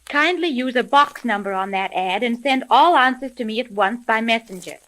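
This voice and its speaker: An actress playing a brisk, no-nonsense woman who runs a detective agency, in 1930s radio drama style. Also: a little high, expressive, a bit bright. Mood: businesslike. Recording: noisy, clicking vintage radio recording.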